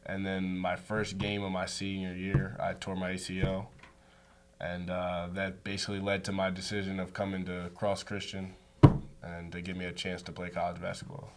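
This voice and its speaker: An easygoing young man with a lowish voice talks about his sport. His answers are casual and loose, filled with "like". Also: monotone, normal speed, very noisy. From United States. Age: young adult. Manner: easygoing, says like a lot. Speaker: student athlete guest.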